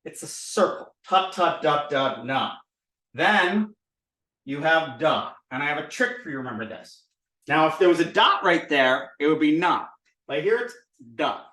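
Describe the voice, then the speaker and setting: Guy teaching at a board, high animated voice, lots of repeating sounds, echoey room, a bit far from the mic. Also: a little slow. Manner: animated, drills sounds by repetition. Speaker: language teacher. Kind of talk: recorded board lesson.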